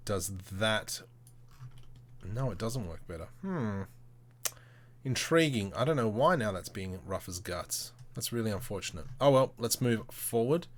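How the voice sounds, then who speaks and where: A man hosting a casual live software tutorial, thinking aloud in short, informal, slangy step-by-step phrases. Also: on the low side, expressive, measured pace. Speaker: tutorial host, livestreamer. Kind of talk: casual live screen-share tutorial commentary.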